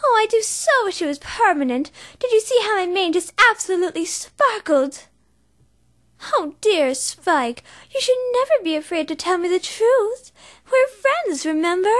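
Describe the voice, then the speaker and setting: Woman playing a refined melodramatic cartoon unicorn, posh theatrical delivery, lively swooping pitch, bright tone. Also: normal speed. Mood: gracious. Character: dramatic refined female unicorn, fashion-loving diva. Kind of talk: cartoon voice acting reel.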